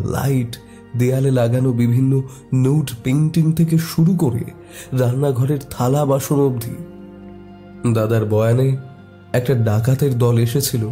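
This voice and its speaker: A man's voice: mid-range voice, dark tone. A man narrating a scripted horror story in a dramatic storytelling style.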